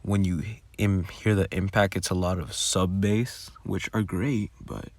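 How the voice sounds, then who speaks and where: A man's voice: sits low, little pitch movement, measured pace, darkish tone, no room sound. A man giving casual behind-the-scenes commentary on his own audio work in a rambling, informal way. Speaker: audio roleplay creator.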